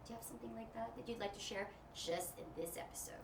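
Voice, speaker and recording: A woman's voice: on the high side, quick pace, noisy background. A woman hosting an interview. English is not her first language, and she asks friendly, probing questions. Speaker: host. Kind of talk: casual interview. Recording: roomy, distant mic.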